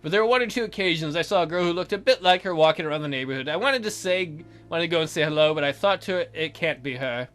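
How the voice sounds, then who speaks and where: A man's voice: a little high, very fast, few pauses, fairly bright tone, faint music behind. A man reading a game's story aloud and adding sarcastic, sweary asides as commentary. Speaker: let's player. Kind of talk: joking game commentary with read-aloud narration.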